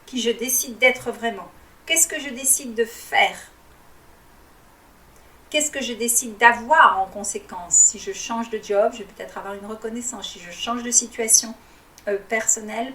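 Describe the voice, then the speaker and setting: Woman life coach, native Arabic speaker, calm teaching tone with pauses, slightly high voice, distant mic, roomy echo. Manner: calm and didactic, with frequent hesitations. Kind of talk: guided reflection talk.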